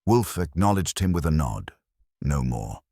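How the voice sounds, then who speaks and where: A man narrating a first-person detective story in a measured, dramatic storytelling delivery. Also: rumbling low, big pitch swings, vocal fry, warm tone, breathy edge, dry recording, studio quality. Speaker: audiobook narrator.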